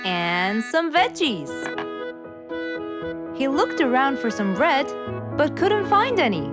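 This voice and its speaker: A woman storyteller reads a children's tale in a slightly high, expressive voice. Her scripted narration is lively in intonation, with music clearly playing behind her. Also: slow pace. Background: background music.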